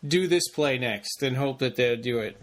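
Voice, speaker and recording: A man's voice: mid-range voice, moderate pace. A man co-hosting a podcast, casually discussing in a tentative, thoughtful way. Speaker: podcast co-host.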